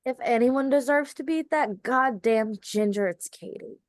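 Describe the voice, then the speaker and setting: A woman's voice: on the high side, unhurried, natural voice. A woman playing a catty, snide young Southern belle beauty queen at a script table read. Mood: bitter. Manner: snide, two-faced.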